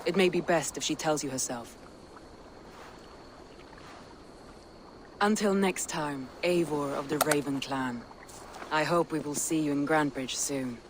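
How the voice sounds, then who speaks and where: A woman acting a noble Viking chieftain in a game cutscene. She speaks earnestly and formally in a lowish, resolute voice, with faint ambient noise around her. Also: moderate pace, lightly acted. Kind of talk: dramatic video game acting.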